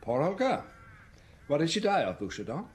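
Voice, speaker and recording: Spoiled count in a radio mystery, breezy and haughty, voice swings up and down a lot. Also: male voice, a little high, wildly swooping pitch, moderate pace, a little hiss or hum. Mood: stunned. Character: profligate, cocky aristocratic count, a grown son. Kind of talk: radio detective drama acting.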